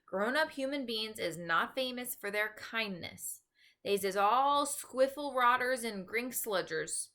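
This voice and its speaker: A woman voicing a stubborn, gentle, pessimistic male giant with garbled folksy grammar in a children's storybook. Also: on the high side, animated, unhurried. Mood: scornful. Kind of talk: children's storybook read-aloud.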